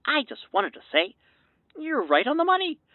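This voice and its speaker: A woman playing an earnest, wordy radio call-in listener with a creaky voice over a filtered phone line. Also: high voice, sing-song, moderate pace, no room sound. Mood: gushing, earnest. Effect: telephone filter. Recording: muffled, dull telephone line.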